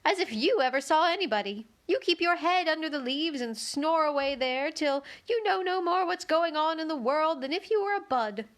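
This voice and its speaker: A woman voicing a bossy, excitable tiger lily with a quick temper and a scolding, haughty manner in a children's storybook. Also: sits high, pitched above the performer's own voice. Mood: cross, excitable.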